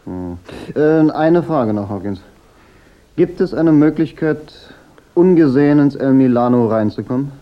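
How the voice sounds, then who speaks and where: An actor playing a wry, unflappable private detective in a German radio drama. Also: male voice, mid-range voice, expressive, a little slow, muffled recording, lightly acted. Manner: dry, sardonic.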